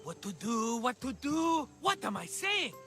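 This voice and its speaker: A man playing an excitable, scheming merchant in a video game, chatty, dramatic and wheedling. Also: very high voice, a little slow, music in the background, full character voice. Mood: scheming, excited. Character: excitable scheming cheerful male merchant, always broke. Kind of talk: video game cutscene acting.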